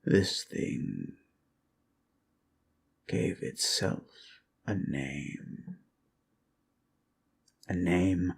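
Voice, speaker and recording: An ancient undead lich recounts dark lore in a very low, heavily creaking voice. He speaks extremely slowly and theatrically, slipping into breathy whispers for an ASMR roleplay, with ominous pauses. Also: a little hiss or hum, full character voice. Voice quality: gravelly, breathy, often dropping to a whisper. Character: ancient powerful undead lich, brooding loremaster of a fantasy world.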